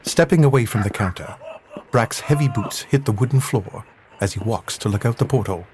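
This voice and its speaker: A man with a fairly low voice narrates an adventure story from a script. He describes the scene as the storyteller of an audio drama. Faint ambience sits behind him. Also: a little fast, noisy background.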